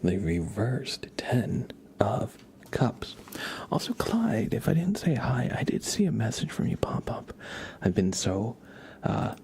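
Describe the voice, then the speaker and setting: A young man giving a soft, whispered ASMR tarot reading while chatting in a relaxed, rambling way with viewers. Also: fairly low pitch, slow pace.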